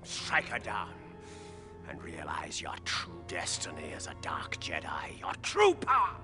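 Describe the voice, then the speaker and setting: An actor playing a sinister dark lord who tempts a hero, speaking in a hissing whisper. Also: male voice, rumbling low, huge pitch swings, unhurried, full character voice. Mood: menacing. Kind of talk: game cutscene acting. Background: dramatic music.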